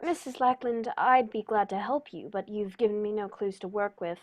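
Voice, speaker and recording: A woman playing a composed, courteous male detective with formal, measured phrasing in a radio play. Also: medium pitch, close to an everyday voice. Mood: polite, attentive. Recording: dull lo-fi recording.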